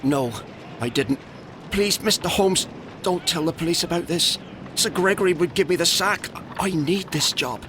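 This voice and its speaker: A man playing an anxious, deferential English bathhouse attendant in a Victorian video game, speaking with nervous, halting politeness. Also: fairly high pitch, background noise, natural voice. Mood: pleading. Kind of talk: video game cutscene acting.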